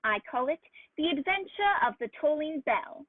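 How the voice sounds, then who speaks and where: A woman playing a genial, avuncular old gentleman doctor who tells stories in a radio play. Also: high voice, animated, normal speed, no echo. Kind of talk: radio play acting and narration. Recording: dull lo-fi dry audio, faint music.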